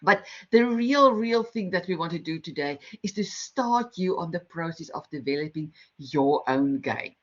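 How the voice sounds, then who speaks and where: A woman's voice: on the low side, lively intonation, moderate pace, hardly any pauses. A middle-aged woman with a South African accent, facilitating an online workshop in a friendly, explanatory way with frequent ums and restarts. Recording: slightly dull online call audio.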